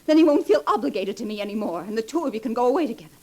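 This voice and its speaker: An actress playing a possessive, jealous young wife with pleading, theatrical delivery in a 1940s radio melodrama. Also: female voice, on the high side, animated, very fast, warm tone, husky. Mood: bitter, menacing. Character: possessive, frightened young wife feigning blindness, clinging and jealous. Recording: vintage 1940s radio recording.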